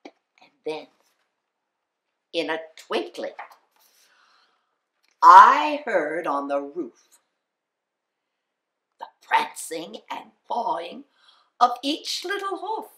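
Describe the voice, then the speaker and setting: A woman reading a children's picture-book poem aloud as a storyteller, theatrical and deliberate, with dramatic pauses. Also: lowish voice, big pitch swings, pushed and bright. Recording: roomy, echoing voice recording.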